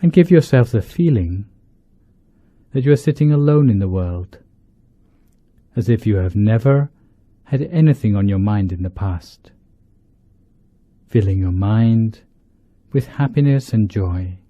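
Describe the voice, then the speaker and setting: A man, a monk leading a guided meditation, speaking in deliberate short phrases with pauses between them. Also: fairly low pitch, animated. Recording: dull lo-fi, roomy echo.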